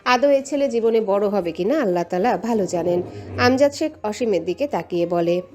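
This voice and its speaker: A woman narrates a scripted romantic tale. Her voice sits at an ordinary female pitch, and she tells it as drama while music plays underneath. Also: animated, normal speed, darkish tone. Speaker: story narrator. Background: background music.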